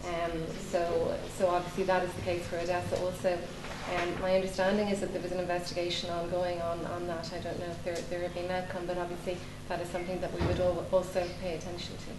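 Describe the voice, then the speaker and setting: A woman's voice: on the low side, monotone, fast talker, few pauses. A woman answering as a panelist, careful and diplomatic with hedges. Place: conference auditorium. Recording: roomy hall audio with noise.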